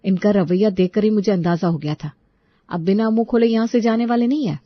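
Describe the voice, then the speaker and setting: A young, skeptical sister-in-law voiced by a woman storyteller talks bluntly and with exasperation. The pitch is middling and the story-drama recording is dry and slightly dull. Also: brisk, no room sound, close to an everyday voice. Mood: cynical.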